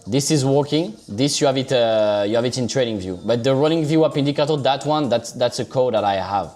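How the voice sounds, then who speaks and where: A man with a French accent at a mid pitch talks his viewers through charts in a loose, chatty livestream. He keeps checking in with his audience and restarts sentences as he goes. Soft music plays quietly underneath. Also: fairly quick. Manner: loose and chatty, keeps saying "so basically" and "okay?". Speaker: livestream crypto trader. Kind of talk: casual live trading commentary.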